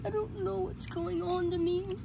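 A very high-pitched, frightened young boy acted by a female performer in a homemade horror skit. He speaks slowly and haltingly, whining and repeating himself as he pleads. The recording is clearly noisy. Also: fairly even intonation, exaggerated voice. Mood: anxious, insistent. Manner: whiny, halting, repetitive, pleading. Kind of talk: amateur improvised horror skit.

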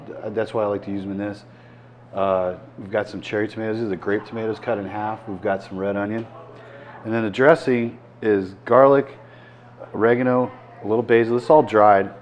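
Casting: chef host in his 60s, lowish voice, relaxed step-by-step demo patter, lapel mic in a restaurant. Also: a little hiss or hum. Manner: relaxed, chatty, explains as he works. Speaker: chef and cooking show host. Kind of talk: casual cooking demonstration. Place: restaurant dining room, indoors.

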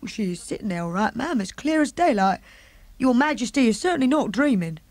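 A woman's voice: fairly high pitch, big pitch swings, darkish tone, no room sound. A woman reading aloud and voicing a nervous, deferential middle-aged maid who frets and stays respectful. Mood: insistent. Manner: flustered, respectful, quick to fret.

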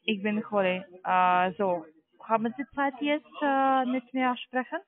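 Slow, high-voiced man with foreign accent. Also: flat delivery. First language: not German. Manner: halting, searching for words. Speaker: call centre sales agent. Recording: narrow phone-line sound.

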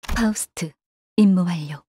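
An actress playing a composed, analytical young female agent in a video game, speaking formally and calmly in a soft, breathy voice. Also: fairly low pitch, a little slow. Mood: composed, detached. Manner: calm, detached, formal, refers to herself by name. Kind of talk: scripted game character voice lines.

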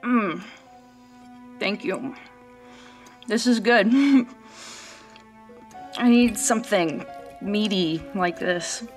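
Woman playing a slow, shaken hospital patient. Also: medium pitch, faint music behind. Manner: halting, anxious. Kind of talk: horror audio drama acting.

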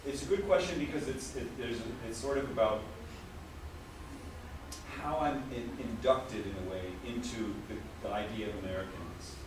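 A man's voice: medium pitch, moderate pace. A man in his forties, an anthropology lecturer, answering audience questions thoughtfully with many restarts in a small library classroom, picked up by a far camera. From United States. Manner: thoughtful, restarts often, gestures while explaining. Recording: echoey room from far off, background hiss.

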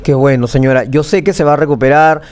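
A man's voice: on the high side, steady tone, quick pace, a little mellow. A young man with a Peruvian accent presenting live news and interviewing, emphatic and given to repeating phrases, in a TV studio. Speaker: news anchor. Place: small TV news studio, seated at desk.

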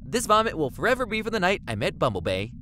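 Actress doing ditzy cheerful female partygoer, hugely swooping pitch, excitable, gushing, comic audio drama, faint noise. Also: quick pace. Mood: giddy. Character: cheerful, scatterbrained star-struck partygoer.

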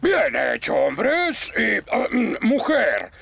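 A high, creaky and blustering old fire chief with a Latin American Spanish accent. He is proud and pompous, giving orders in cartoon style over loud music. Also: huge pitch swings, slow pace, exaggerated voice. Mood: proud, instructive. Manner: blustering, commanding. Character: pompous, proud older male fire station officer. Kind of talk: animated cartoon dubbing.

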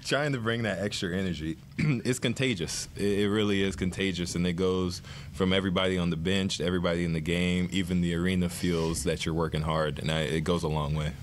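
A young man in his twenties calmly answering reporters after a game at a desk mic. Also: low voice, brisk, few pauses, slight background noise. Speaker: basketball player at press conference. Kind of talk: post-game press answers. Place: arena press room.

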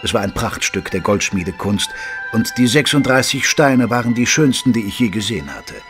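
An actor playing an observant gentleman who narrates an audio drama in a measured, literary manner. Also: lowish voice, animated, close to an everyday voice. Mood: measured, admiring. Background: music.

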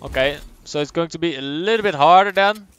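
A man's voice: a little high, fairly quick, slight background noise. Dutch-accented young male game streamer. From Netherlands. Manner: casual, chatty, answers chat aloud, thinks out loud. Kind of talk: live gameplay commentary, reading chat.

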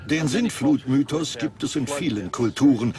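A man's voice: medium pitch, lively intonation, moderate pace, over loud music, close to an everyday voice. A man delivering scripted expert explanations as a documentary voice-over.

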